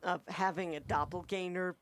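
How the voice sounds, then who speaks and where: A woman's voice: on the low side, steady tone, moderate pace. A woman co-hosting a casual review chat, giving her opinions and corrections readily. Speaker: co-host reviewing TV episodes.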